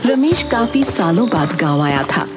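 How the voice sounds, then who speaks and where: A woman's voice: on the low side, sing-song, moderate pace, music in the background. A woman narrating a scripted horror story in a dramatic storytelling manner.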